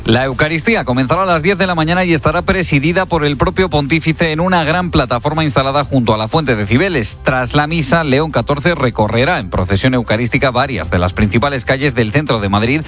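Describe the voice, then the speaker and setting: A man reads a scripted news report at a brisk clip, crisp and even. Faint music runs beneath his voice. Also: mid-range voice.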